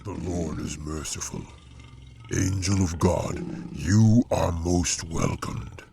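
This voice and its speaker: Deep, gravelly bear king. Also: fairly even intonation, noisy background, exaggerated voice. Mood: reverent. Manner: slow, stately, declamatory. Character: great bear king, solemn, noble and indignant.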